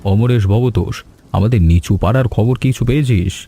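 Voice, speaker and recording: A man's voice: mid-range voice, a little fast, gravelly, background noise, close to an everyday voice. A man playing a troubled, grateful village headman and elder in a scripted cartoon.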